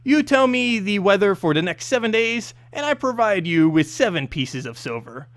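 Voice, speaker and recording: A man's voice: sits high, very animated, moderate pace, a bit bright, no echo, pitched above the performer's own voice, exaggerated voice. Man reading as a firm, exasperated demon summoner woman. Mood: firm, probing. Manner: firm, probing, impatient.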